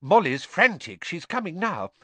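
A man voicing a mild middle-aged father in a storybook reading, eager and boyishly excitable. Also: high-pitched, normal speed, dry recording, voice pushed up, exaggerated voice. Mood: breathless relief.

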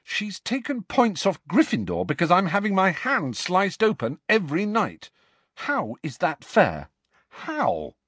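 Man playing an indignant teenage schoolboy, pitched up. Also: huge pitch swings, measured pace, a bit bright, husky, no echo, exaggerated voice. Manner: blunt, protesting. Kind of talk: audiobook character voice.

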